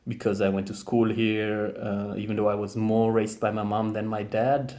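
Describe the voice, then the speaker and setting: A young man with a German accent, talking thoughtfully to camera with many pauses and restarts. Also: lowish voice, flat delivery, a little mellow. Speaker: vlogger. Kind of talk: casual talk to camera.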